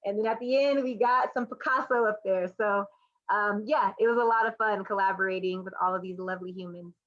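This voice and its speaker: A woman's voice: medium pitch, steady tone, fairly quick, a bit bright, muffled recording. A woman reflecting casually and off the cuff as a panelist, informal and enthusiastic. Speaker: artist and educator, panelist.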